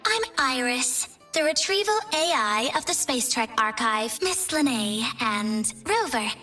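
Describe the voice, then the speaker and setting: A female AI archivist in a video game. She is courteous and dutiful, with a high-pitched, very bright voice and formal phrasing. Faint music sits behind her. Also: animated, measured pace, heavily acted. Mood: polite, helpful. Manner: polite, formal, helpful.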